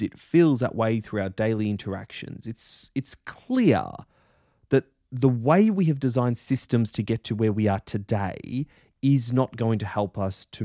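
A man hosting a podcast, delivering introductions and questions in a deliberate, articulate way. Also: medium pitch, dark tone, no echo, clean studio sound. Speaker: podcast host. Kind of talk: scripted introductions and interview questions.

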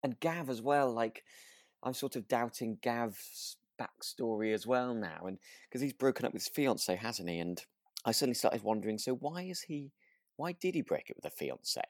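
A man's voice: mid-range voice, expressive, normal speed, no echo, studio quality. A British man co-hosting a casual discussion of a radio drama, hesitant and often rephrasing himself as he goes. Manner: hesitant, circling phrasing with self-interruptions. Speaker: podcast co-host.

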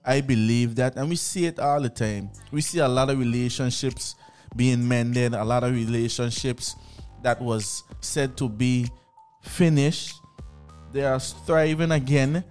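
A man's voice: medium pitch, steady tone, unhurried, a little mellow, smooth. A man with a lilt, talking in a plain mid-range voice. He speaks earnestly and repeats words when he begins a thought, as radio co-hosts do in casual discussion. Faint music plays underneath. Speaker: radio show co-host.

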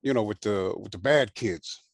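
A man in his sixties from Hawaii, raised in Southern California, telling his life story as a guest in a relaxed way, full of fillers. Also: lowish voice, gravelly, no room sound, studio quality. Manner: relaxed, unhurried, many fillers and "you know". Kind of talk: casual interview storytelling.

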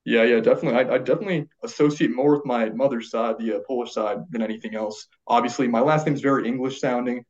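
A man co-hosting a podcast in casual chat, relaxed and rambling, with many fillers and restarts. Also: mid-range voice, fast, hardly any pauses. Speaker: podcast co-host. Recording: dull lo-fi sound.

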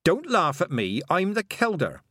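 A man voicing a headstrong, quick-witted, bossy young girl in an audiobook. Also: mid-range voice, huge pitch swings, a bit bright, no room sound, studio quality, voice pushed up. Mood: indignant, pleading. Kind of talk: audiobook character acting.

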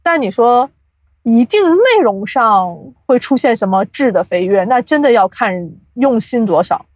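A young adult woman chatting casually as an interview guest, explaining things from experience with animated storytelling and self-deprecating humour. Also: fairly high pitch, measured pace, no room sound. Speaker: podcast guest, audio drama producer.

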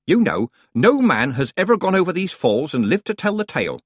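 A man's voice: a little high, sing-song, brisk, husky, no room sound, clean studio sound, pitched above the performer's own voice. A man voicing a jolly old witch woman, chirpy and teasing.

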